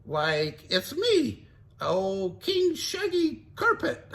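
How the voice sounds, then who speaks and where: Squeaky goofy hungry slacker guy, man doing it in a storybook reading, voice jumps way up and down. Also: huge pitch swings, extremely slow, vocal fry, fairly bright tone, exaggerated voice. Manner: high squeaky voice, drawls "like". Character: goofy jittery young man, always hungry.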